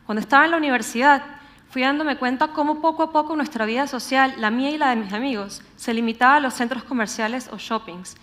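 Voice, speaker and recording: A young woman with a Venezuelan accent from Caracas, giving a prepared public talk in a measured, explanatory manner. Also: high voice, fairly bright tone. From Venezuela, grew up in Caracas. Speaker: conference speaker. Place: on stage at a live talk event.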